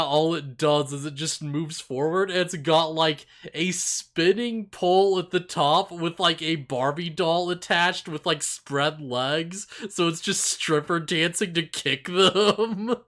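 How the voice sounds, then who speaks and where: A man's voice: fairly high pitch, a bit bright. A man co-hosting a podcast in casual chat, rambling and slangy, with a giggly manner. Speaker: podcast co-host. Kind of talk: casual chat, animated series discussion.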